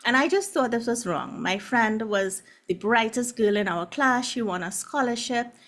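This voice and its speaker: A woman's voice: on the high side, normal speed, a bit bright. Trinidadian woman, 40s, earnest guest on a video call. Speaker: guest love coach and hypnotherapist. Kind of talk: casual video-call presentation.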